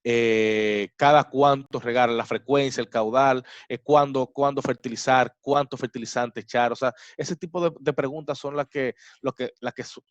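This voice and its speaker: A man's voice: mid-range voice, steady tone, moderate pace, few pauses, dry recording. A man moderating a farming webinar, thinking aloud with frequent restarts. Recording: dry, muffled online call.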